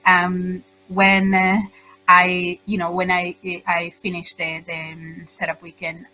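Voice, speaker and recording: A middle-aged woman with a Tanzanian accent giving informal, halting answers as an interview guest. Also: lowish voice, monotone, pushed and bright, soft background music, low-quality recording. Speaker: guest, social entrepreneur.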